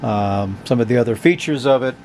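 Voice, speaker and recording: A man hosting a casual, unscripted product review, talking in a hesitant, halting, conversational way. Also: mid-range voice, darkish tone, a little hiss or hum. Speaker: host of a vintage radio review channel.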